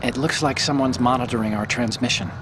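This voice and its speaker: An actor playing an eager male hacker who explains things quickly over a radio link. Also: medium pitch, noisy background, natural voice. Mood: explaining. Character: chatty male tech support hacker.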